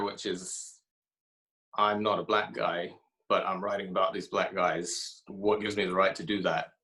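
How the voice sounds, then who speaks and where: Low, bright-voiced man answering thoughtfully. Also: poor audio. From grew up in Haiti and Ethiopia. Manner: reflective, pauses often with fillers and restarts. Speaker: author being interviewed.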